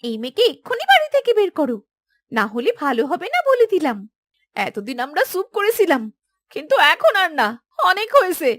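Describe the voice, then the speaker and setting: A woman voicing an indignant, quarrelsome village wife in a cartoon fairy tale, with a shrill, scolding, dramatic delivery. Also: big pitch swings, fairly quick, fairly bright tone, dry recording, studio quality, full character voice. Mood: shocked, furious.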